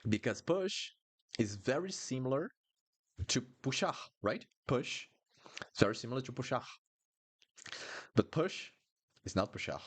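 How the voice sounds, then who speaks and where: A man's voice: medium pitch, very animated, unhurried, pauses often, husky. A man from Brazil with a Brazilian Portuguese accent, teaching a live online Portuguese lesson in a chatty, apologetic way and repeating words for learners. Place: Brasilia.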